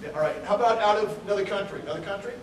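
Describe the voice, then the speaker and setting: Joking, chatty man, high voice. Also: racing, a crowd in the background. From Texas. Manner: joking, chatty, asks the audience questions. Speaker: aquarium CEO giving a talk. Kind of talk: casual public talk to a crowd. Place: large indoor room with an audience. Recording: echoey hall, mic from far off.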